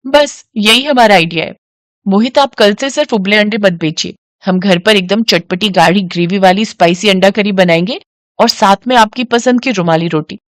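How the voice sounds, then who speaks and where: A woman's voice: medium pitch, moderate pace, no echo, studio quality, close to an everyday voice. A woman voicing a clever young wife in a moral story, reassuring and practical. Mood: reassuring, confident.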